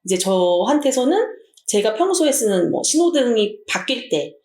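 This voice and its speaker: A woman teacher from Jeju with a Jeju Korean accent, telling an anecdote in a chatty, animated way. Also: on the high side, normal speed, echoey room.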